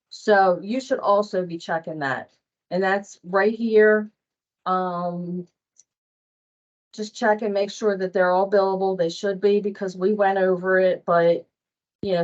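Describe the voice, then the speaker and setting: A woman giving an informal workplace training walkthrough, speaking in a plain, halting, conversational way over muffled online meeting audio. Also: mid-range voice. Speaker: staff trainer on invoicing. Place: online meeting with screen share.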